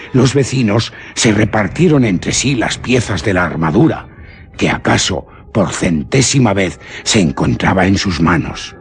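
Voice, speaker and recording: A grave radio-theatre narrator with a low, creaky voice. He tells a dark legend in a solemn, deliberate, ominous manner. Music plays clearly beneath him. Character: grave male storyteller of an old devil legend, solemn and ominous.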